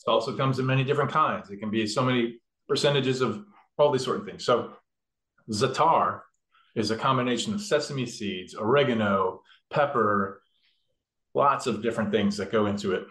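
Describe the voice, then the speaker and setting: A man's voice: medium pitch, normal speed, a bit bright. Rambling man cooking in an echoey kitchen. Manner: rambling, explains step by step with fillers. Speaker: cook demonstrating a recipe. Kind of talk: casual cooking demo. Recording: echoey room.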